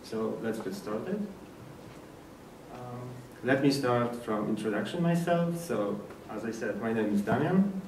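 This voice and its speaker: A man from Poland with a Polish accent gives an informal technical presentation in slightly halting non-native English. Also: medium pitch, a little mellow. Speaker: speaker at a developer meetup. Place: indoor meetup presentation room. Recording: echoey room, distant mic, faint noise.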